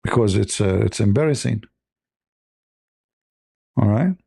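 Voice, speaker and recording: A man's voice: fairly low pitch, animated, unhurried, creaky, mellow, no echo, studio quality. A man whose first language is Arabic, hosting a heated live debate, combative and mocking, repeating himself insistently. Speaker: debate stream host.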